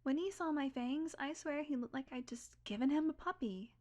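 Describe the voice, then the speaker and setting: A woman's voice: sits high, normal speed, dark tone, close to an everyday voice. A woman playing a sardonic, secretly tender long-lived vampire in an intimate audio roleplay, talking in a casual, wry, confiding way. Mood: nostalgic, confiding. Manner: casual, confiding, wry, swearing, drifting into whispers.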